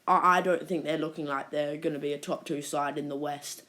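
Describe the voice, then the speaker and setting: A woman hosts a casual basketball ranking, her voice sitting low and sounding croaky from a sore throat. She talks in a hesitant way, stopping and restarting sentences with plenty of "uh". The delivery is that of an informal fan talking straight to her viewers. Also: steady tone, fairly quick, pushed and bright. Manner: hesitant, many restarts and fillers. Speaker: basketball channel host. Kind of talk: casual ranking commentary to camera.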